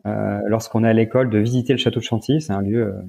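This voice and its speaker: Mellow, halting middle-aged Frenchman. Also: lowish voice, fairly even intonation, gravelly. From northern France. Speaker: interview guest, events manager. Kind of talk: casual conversational interview.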